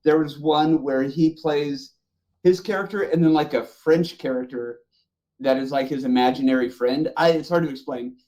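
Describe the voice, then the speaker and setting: A man's voice: on the high side, steady tone, normal speed, warm tone, low-quality recording. A man hosting a casual panel chat about films, speaking in an enthusiastic, emphatic way. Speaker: podcast host.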